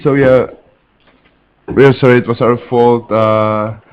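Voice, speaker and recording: A man's voice: medium pitch, fairly even intonation, takes it slowly, clean tone. A man with a Czech accent chatting casually as co-commentator on a live card game stream. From Czech Republic. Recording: dull, lo-fi stream audio.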